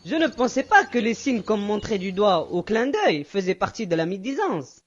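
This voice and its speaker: Schoolboy cartoon character, animated swinging intonation, youthful athlete, educational dub, slightly muffled sound. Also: medium pitch, moderate pace. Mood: contrite. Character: resentful, envious schoolboy athlete.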